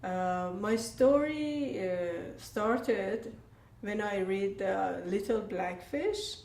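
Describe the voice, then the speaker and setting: A woman from Iran telling a personal story hesitantly, pausing often with fillers. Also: medium pitch, a little mellow. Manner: hesitant, with many pauses and fillers. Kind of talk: personal story told to a group. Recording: roomy, distant with faint noise.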